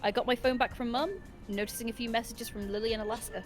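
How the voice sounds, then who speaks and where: A woman's voice: fairly high pitch, steady tone, quick pace. A woman reading a first-person visual novel story aloud as a stream co-host. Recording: music behind the voice. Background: music.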